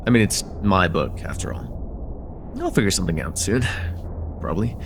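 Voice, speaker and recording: A man's voice: low-pitched, big pitch swings, moderate pace, creaky, background noise, exaggerated voice. A young man playing a friendly, slightly nervous college student in a casual, stammering slice-of-life ASMR roleplay chat. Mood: casual, teasing. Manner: hesitant, stammering, self-deprecating, casual.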